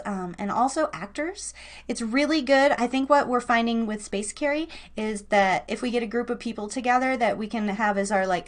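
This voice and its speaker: High-voiced woman hosting a casual livestream. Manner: informal, rambling, thinking aloud. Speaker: host of a live online radio show. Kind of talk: casual, chatty livestream talk to listeners.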